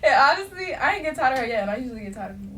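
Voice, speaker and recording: A woman's voice: high voice, sing-song, very fast, sharp tone. A young woman co-hosting a casual podcast chat, joking and playful in a slangy way. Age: young adult. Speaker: podcast co-host. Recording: distant mic with faint noise.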